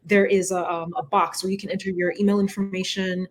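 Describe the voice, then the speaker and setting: A woman's voice: medium pitch, flat delivery, fairly quick. Woman guest explaining details in mid-range voice. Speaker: podcast guest, manga creator. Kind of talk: casual explanatory talk.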